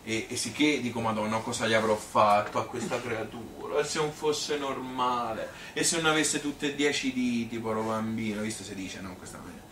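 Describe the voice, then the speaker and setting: A man's voice: mid-range voice, fairly even intonation, brisk, few pauses, a bit bright, lightly acted. A man playing a plain-spoken Tuscan mother who reminisces in a rambling, hesitant, conversational stage monologue full of self-questioning. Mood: worried, reminiscing. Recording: roomy, distant stage recording with noise.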